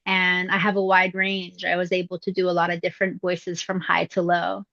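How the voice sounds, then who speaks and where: A middle-aged woman whose voice still sounds youthful answers interview questions in a relaxed, reflective way, often pausing on fillers. The recording is dry and close, with a slightly dull, lo-fi tone. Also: fairly low pitch, creaky, no room sound. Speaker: voice actress, interview guest. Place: indoors at her mother's home.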